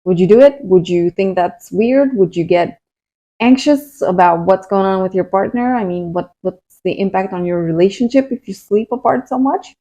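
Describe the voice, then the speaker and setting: A woman from Romania, a breathwork coach giving gentle, unhurried advice in a casual vlog. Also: medium pitch.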